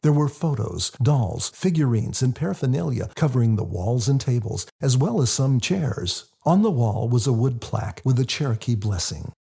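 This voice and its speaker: A man reading his own book as scripted audiobook narration, in a husky, gravelly voice. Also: mid-range voice, very animated, moderate pace, few pauses, mellow.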